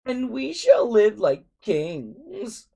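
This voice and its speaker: A woman voicing a treacherous, greedy male villain in a storybook, with drawn-out, melodramatic pleading. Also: fairly high pitch, wildly swooping pitch, vocal fry, dark tone, breathy edge, voice pushed up, heavily acted. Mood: urgent pleading.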